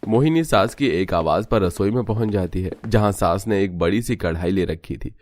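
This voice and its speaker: A man's voice: deep voice, moderate pace, darkish tone, dry recording. A man reading a scripted story as its narrator.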